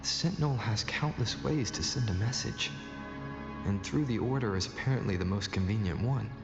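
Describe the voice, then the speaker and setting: A cool, low-voiced young male hero in a video game, measured and analytical. His words ring with clear echo over prominent music. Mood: pensive. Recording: echoey, muffled game capture under music.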